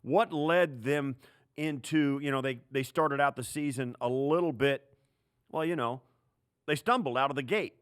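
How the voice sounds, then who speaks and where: A man's voice: mid-range voice, normal speed, clear, resonant tone. A man co-hosting a sports podcast, putting questions to a guest in a conversational style. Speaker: podcast co-host.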